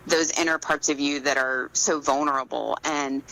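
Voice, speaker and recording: A woman's voice: low voice, fairly even intonation, moderate pace, vocal fry, bright, forceful tone, poor audio. A woman being interviewed as an author, talking casually and reflectively, in an earnest, explanatory way.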